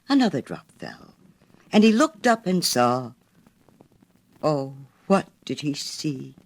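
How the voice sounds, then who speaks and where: A woman narrating a storybook in a theatrical, expressive manner. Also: deep voice. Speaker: audiobook narrator.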